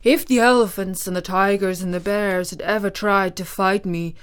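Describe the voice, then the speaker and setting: A woman voicing a timid, tearful male lion in a storybook reading, her voice raised and louder than her narration. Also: mid-range voice, fairly even intonation, moderate pace, clear, resonant tone, natural voice. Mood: sad, plaintive. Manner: sorrowful, plaintive storybook voice.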